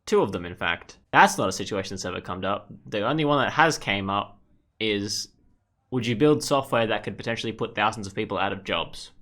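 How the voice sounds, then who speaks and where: A young male gaming host with a fairly deep voice and a bright, forceful edge. He comments casually as he plays through a game, chatting and reading lines out. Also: lively intonation, brisk.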